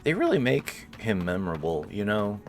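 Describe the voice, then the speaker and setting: A man hosting a casual video game playthrough, commenting in an expressive, jokey, slangy way. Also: lowish voice, normal speed, soft background music. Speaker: gaming host doing a playthrough. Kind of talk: casual let's play commentary, partly voicing dialogue. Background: faint game ambience.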